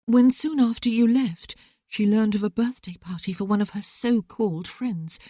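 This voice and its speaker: A woman reading as an earnest, talkative young woman with a voice lifted well above the reader's own, rising and falling eagerly. The tone stays mellow and the recording is close and dry, with no room sound. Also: on the high side, normal speed, dry recording, pitched above the performer's own voice, close to an everyday voice. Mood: earnest, wistful. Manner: lively, talkative, candid. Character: outspoken young woman, sensitive, earnest sister.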